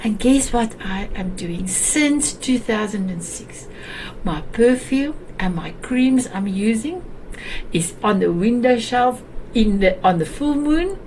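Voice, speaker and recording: A woman's voice: on the high side, expressive, slow, darkish tone, slight background noise, rough recording. A woman from South Africa with an Afrikaans accent, hosting a talk show in a chatty, hesitant way with many restarts. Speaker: show host. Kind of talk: warm casual talk on a video call show.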